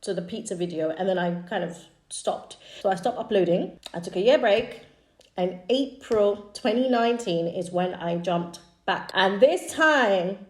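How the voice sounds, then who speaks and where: A woman in her thirties from East Africa whose first language is Swahili, chatting casually as a YouTuber walking through her own channel history with fillers and quick asides. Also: medium pitch, lively intonation. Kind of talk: casual screen-share walkthrough. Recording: echoey room.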